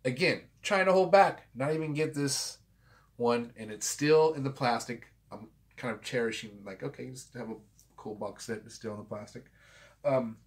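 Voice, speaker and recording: A man's voice: medium pitch, moderate pace. A man in his forties hosting a home vlog, talking loosely and swearing freely after a couple of drinks, recorded on a desk camera in a small room. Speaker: vinyl collector channel host. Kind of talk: casual rambling vlog. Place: small home room with framed pictures and a guitar.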